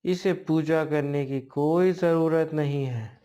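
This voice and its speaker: Arrogant possessing demon played by a male storyteller, menacing and boastful, very slow, drawn-out delivery for horror storytelling. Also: on the high side, warm tone.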